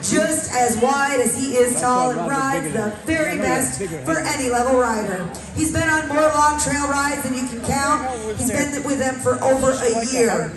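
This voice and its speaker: Female American sale announcer, high voice, folksy pitch; distant PA with music behind. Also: unhurried. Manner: promotional, folksy. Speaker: auction announcer. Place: large indoor livestock arena. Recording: echoey arena PA from far off, music.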